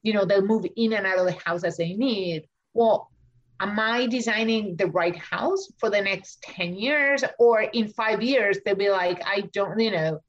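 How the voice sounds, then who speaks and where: A woman's voice: mid-range voice, unhurried, highs cut off. A woman from Argentina with an Argentine Spanish accent, an architect being interviewed on a podcast, talking things through with many fillers and restarts. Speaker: architect and author. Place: home studio in Washington DC.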